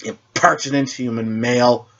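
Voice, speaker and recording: A man's voice: medium pitch, steady tone, moderate pace, lo-fi sound, lightly acted. A man voicing a haughty young fish-like warrior girl with formal, disdainful speech in a superhero story. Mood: indignant. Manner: formal, imperious.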